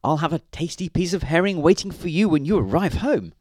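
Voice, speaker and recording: A man's voice: sits high, wildly swooping pitch, normal speed, mellow, no room sound, clean studio sound, pitched above the performer's own voice, heavily acted. A man voicing a thoughtful, consoling lighthouse keeper's wife in a put-on feminine voice for a children's story.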